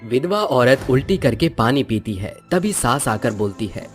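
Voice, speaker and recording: Male cartoon narrator, low-pitched with a very animated lilt. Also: measured pace, faint music behind. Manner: lively, questioning storytelling.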